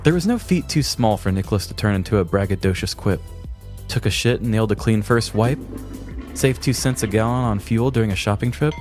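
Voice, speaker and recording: A man co-hosting a podcast, telling a scripted comedic story in a deliberate, mock-grandiose way. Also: on the low side, a little mellow, soft background music. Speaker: podcast co-host.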